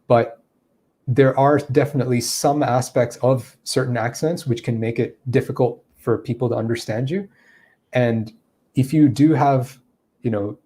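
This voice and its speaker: A man explains English pronunciation to viewers, pausing with fillers as he thinks. His voice is mellow and sits at an ordinary pitch. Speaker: English teacher. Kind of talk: answering viewer questions in a lesson.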